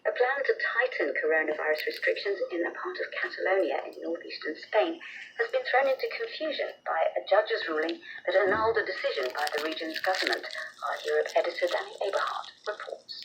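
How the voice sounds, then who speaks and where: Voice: mid-range voice, very animated, brisk, noisy background. A radio news presenter reading a scripted world news bulletin on a shortwave broadcast.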